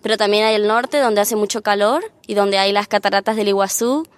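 A teenage girl from Argentina speaks in a smooth, clear voice with an Argentine accent. She chats casually, with a few hesitant restarts, and the sound is dry and close. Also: medium pitch, steady tone, a little fast, dry recording. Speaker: exchange student. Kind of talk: casual chat.

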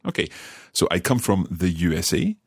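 Deep husky man teaching. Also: sing-song, no room sound, studio quality. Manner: clear, patient, teacherly, repeats phrases slowly. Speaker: language course host. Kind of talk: scripted podcast lesson chat.

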